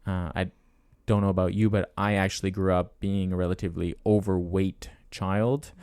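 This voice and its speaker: A man's voice: low-pitched, flat delivery, normal speed, a little mellow, clear, resonant tone, no room sound. A man in his twenties hosting a casual fitness podcast, chatty and laughing as he tells stories. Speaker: podcast host and coach.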